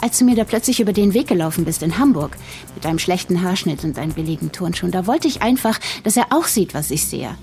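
A woman's voice: on the low side, expressive, fast, dark tone, faint music behind. A middle-aged actress playing a cold, snobbish, scheming female politician in an audio drama, with a condescending, matter-of-fact delivery. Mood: contemptuous.

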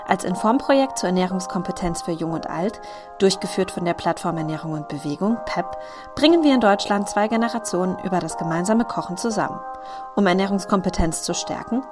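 A woman hosting a cooking interview podcast, reading a scripted intro and then asking slow questions with fillers. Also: lowish voice, lively intonation, music in the background. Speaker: podcast host.

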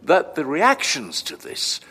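An actor playing a courteous, long-winded, analytical doctor in a formal, measured audio drama performance. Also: male voice, fairly high pitch, huge pitch swings, pushed and bright, long reverb tail, full character voice. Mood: accusing, solemn. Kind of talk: full-cast audio drama acting.

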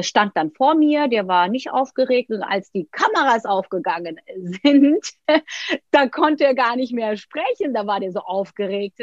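A woman, telling stories as an interview guest, lively, with many fillers and restarts. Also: mid-range voice, dry recording. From Turkey. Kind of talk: casual interview talk. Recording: dull, dry sound.

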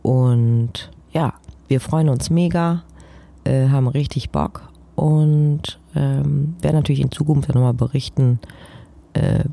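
A man's voice: medium pitch, creaky, warm tone. A man hosting a podcast in a casual, conversational style. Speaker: podcast host.

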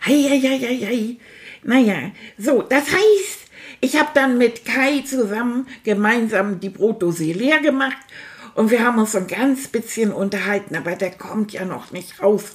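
A cheeky male stuffed toy rat, voiced by a woman, chatters and boasts through a children's audio story. His voice sits high and playful. He talks straight to the young listeners. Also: lively intonation, moderate pace, close to an everyday voice. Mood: chatty.

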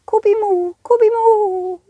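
Squeaky, babbling little ghost. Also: female voice, fairly even intonation, takes it slowly, dark tone, no echo, highs cut off. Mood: eager cooing. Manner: babbles in short repeated cooing syllables. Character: small timid friendly ghost babbling nonsense syllables.

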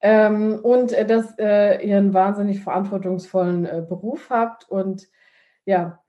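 A woman's voice: mid-range voice, steady tone, measured pace, warm tone, dull audio. A woman interviewing a guest, asking questions hesitantly with many fillers.

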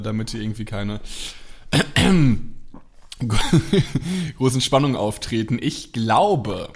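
A German man in a casual video call, rambling and halting as he explains. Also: medium pitch.

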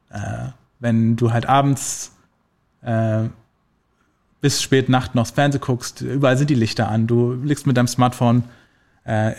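A man explaining health myths in a casual podcast conversation, relaxed and full of fillers, using everyday examples. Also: mid-range voice.

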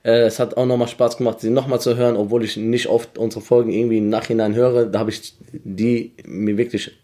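A hoarse young man co-hosting a podcast in easygoing, joking chat. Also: mid-range voice, little pitch movement, a little fast, dark tone. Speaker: podcast co-host. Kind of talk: casual chat.

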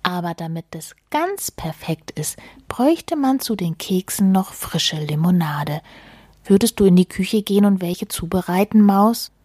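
A woman's voice: on the low side, animated, measured pace, darkish tone, no echo, studio quality. Woman voicing a fussy, bossy storybook mole. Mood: demanding, self-satisfied. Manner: fussy, insistent, cautioning. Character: bossy, fretful, sly male mole friend, storybook animal.

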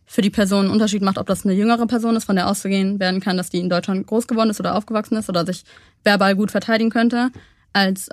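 Young woman, interview guest, reflective and measured, middle pitch, dry close mic. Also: no echo. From Germany. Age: young adult. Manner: thoughtful, measured, frequent hesitations.

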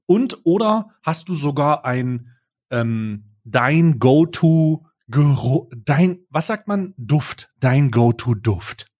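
An adult man co-hosting a podcast in casual chat, asking slow, drawn-out questions and laughing easily. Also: fairly high pitch, lively intonation, darkish tone. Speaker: podcast co-host.

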